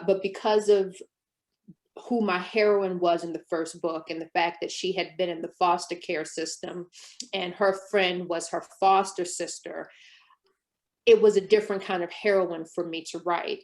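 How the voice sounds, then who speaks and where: A middle-aged woman romance author answering interview questions in a reflective way, often hedging with "um" and "sort of". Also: fairly low pitch. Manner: reflective, many ums and sort ofs.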